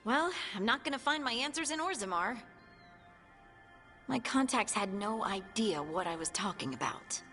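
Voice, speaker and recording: A woman's voice: fairly high pitch, expressive, moderate pace, pushed and bright, soft background music. A woman playing an earnest, inquisitive dwarf scout in a fantasy video game. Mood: thoughtful, earnest. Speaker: voice actor. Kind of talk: video game cutscene acting.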